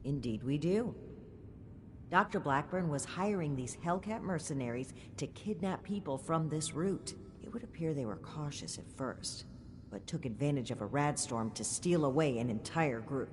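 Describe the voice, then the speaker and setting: A woman playing an earnest, principled military officer in a video game, speaking formally and deliberately. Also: low-pitched, animated. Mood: grave, resolute. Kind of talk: scripted game dialogue. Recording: clear background noise, game ambience.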